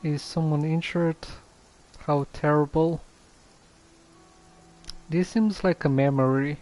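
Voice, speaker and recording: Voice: medium pitch, steady tone, slow pace, a little mellow. A game streamer giving casual livestream commentary, sometimes hesitantly searching for words.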